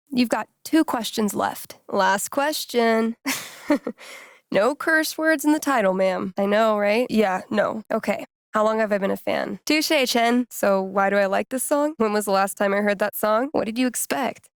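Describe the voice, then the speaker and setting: A woman's voice: on the high side, measured pace, vocal fry, no echo, clean studio sound. An actress playing a laid-back, geeky young American woman in a video game, speaking in a casual, wry, playful way. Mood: playful, teasing. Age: young adult. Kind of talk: naturalistic video game character dialogue.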